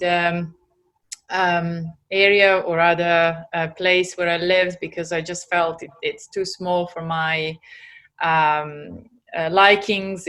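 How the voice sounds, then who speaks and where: Serbian-accented woman, halting and low. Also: a bit bright. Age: 40s. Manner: hesitant, many fillers, laughs easily. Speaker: guest entrepreneur. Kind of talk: casual interview chat.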